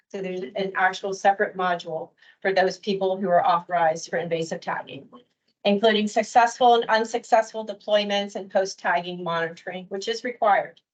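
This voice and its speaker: A woman delivers a prepared workshop presentation in a measured, detail-heavy way, pausing now and then to correct herself. Her voice sits at a middling pitch, and the recording is a little dull and lo-fi. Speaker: permitting official presenting at a workshop.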